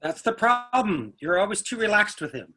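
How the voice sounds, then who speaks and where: Man acting a sharp, impatient father. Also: sits high, big pitch swings, normal speed, exaggerated voice. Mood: irritated. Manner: curt, critical. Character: stern, impatient, well-dressed father. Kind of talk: readers theatre dialogue.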